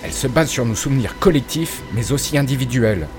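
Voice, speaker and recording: Calm soldier, steady, compassionate tone; a male narrator voices him. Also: medium pitch, a little fast, over music, close to an everyday voice.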